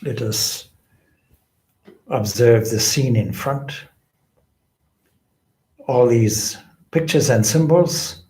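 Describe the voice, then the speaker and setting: Slow-paced man guiding meditation, echoey room. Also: medium pitch, darkish tone. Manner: deliberate, measured phrasing with pauses. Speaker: meditation teacher, swami. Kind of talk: guided meditation, spiritual instruction.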